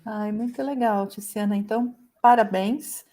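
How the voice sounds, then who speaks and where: A woman's voice: medium pitch, fairly even intonation, normal speed, mellow. Informal Brazilian woman interviewer. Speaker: interviewer, host. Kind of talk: casual conversational interview.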